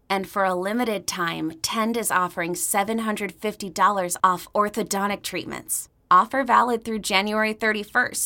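Bright female ad announcer. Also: mid-range voice. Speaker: commercial announcer. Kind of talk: scripted dental ad read.

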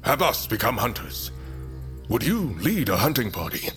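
An actor playing a stern, measured middle-aged patriarch who rules a fantasy mountain council, speaking formally and deliberately. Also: male voice, medium pitch, sing-song, a bit bright, soft background music. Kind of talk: fantasy audio drama acting.

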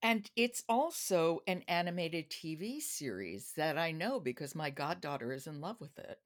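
Casting: female interviewer with a deep-set voice, chatting and asking questions as podcast host. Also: lively intonation, normal speed. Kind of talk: conversational interviewing.

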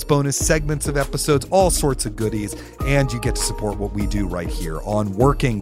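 A man co-hosting a podcast in a casual, chatty and emphatic conversational style. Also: mid-range voice. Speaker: podcast co-host.

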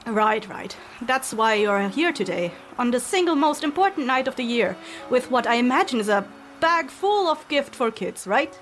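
A woman playing a warm, perceptive, teasing friend in an audio drama, familiar and gently probing. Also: fairly high pitch, lively intonation, normal speed, few pauses, rough recording. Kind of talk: acted fan fiction audio drama.

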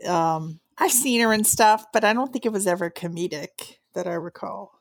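A woman's voice: mid-range voice, normal speed, no echo. A woman with a Midwestern accent co-hosting a casual TV recap chat, adding agreeable short quips and laughing. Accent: Midwestern American. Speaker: podcast co-host.

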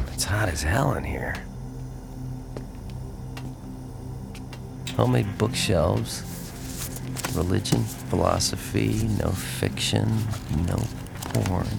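A wry probing reporter, real low n gravelly, audio drama. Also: male voice, exaggerated voice. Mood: muttering, observant. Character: male newspaper reporter, dogged, wry, probing.

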